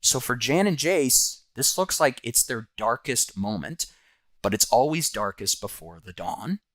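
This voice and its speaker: A man's voice: mid-range voice, expressive, unhurried, fairly bright tone, no room sound. A man hosting and narrating a scripted comic book review.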